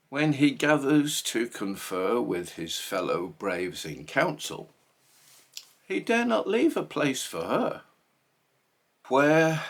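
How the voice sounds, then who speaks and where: Male poetry reader, husky, theatrical and emphatic, slow delivery with hugely varied pitch. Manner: theatrical, emphatic, deliberate phrasing. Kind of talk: dramatic poetry recitation.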